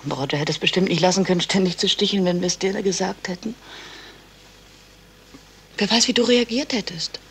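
Actress playing an anxious, confiding wife. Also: female voice, mid-range voice, brisk, long pauses, slight background noise, close to an everyday voice. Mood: anxious, apologetic. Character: anxious, affectionate woman confessing to her partner. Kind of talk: 1970s German radio crime drama acting.

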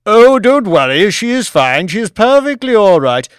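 An imperious older English lord, acted by a male narrator, speaks grandly and evasively in an audiobook drama. His pitch sits high and swings widely, with emphatic, stretched-out phrases in a close, dry recording. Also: very animated, clear, resonant tone, no echo. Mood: breezy, evasive. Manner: grandiose, emphatic, drawn-out delivery.

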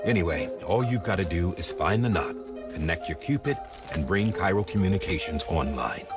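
An actor playing a commanding, earnest leader in a video game, briefing his porter in a deliberate, emphatic, authoritative way. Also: deep voice, expressive, over loud music. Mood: firm, instructive. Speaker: mission commander. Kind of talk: cinematic video game exposition.

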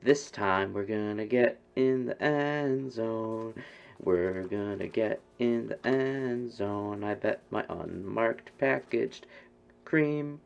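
A young man commentates a game in a casual, joking way, looping the same phrases again and again. His voice is slow and gravelly. The sound is dull, lo-fi stream audio from a bedroom. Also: fairly low pitch, faint music behind. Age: young adult. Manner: repeats phrases over and over. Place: bedroom, indoors.

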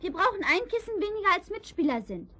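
An actress playing an excited, bossy girl running party games in a vinyl children's audio drama. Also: very high voice, fast, heavily acted. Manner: eager, bossy.

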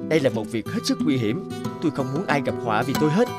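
An actor playing a bold, principled young folktale thief, earnest, plain-spoken and protective. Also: male voice, mid-range voice, sing-song, fast, loud music behind the voice, natural voice. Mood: firm, cautioning.

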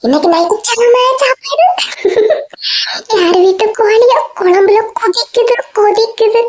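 Woman as old mother-in-law, very high pitch, Kanyakumari Tamil, sly, bossy, loud, cartoon comedy. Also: a little slow. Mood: gleeful. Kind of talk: exaggerated cartoon comedy acting.

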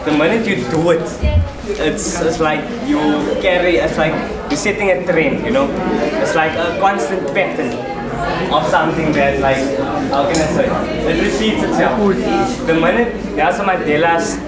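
A man's voice: on the high side, normal speed. Chatty young South African man, roomy hall. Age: young adult. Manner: chatty, laughing, restarts often. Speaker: student speaker. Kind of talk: casual speech to a group. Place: large hall with seated students. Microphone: far camera. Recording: echoey hall from far off, crowd.

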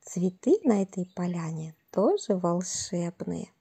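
A woman narrating a scripted fairy tale for children in a soft, breathy, gentle and unhurried storytelling manner. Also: fairly low pitch, sing-song.

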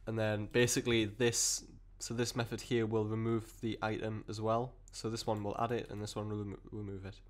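A man with a cold and a croaky voice, explaining code step by step in a rambling way with many fillers and restarts. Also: fairly low pitch, little pitch movement, a little fast, hardly any pauses. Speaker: programming tutor. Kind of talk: screen-recorded coding tutorial.

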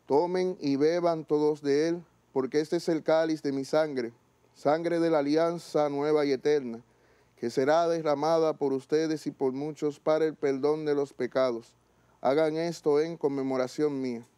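A man in his thirties, a priest, reciting the eucharistic prayer at mass in a measured, solemn way, in a small chapel studio. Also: a little high, steady tone, dark tone, clear, resonant tone.

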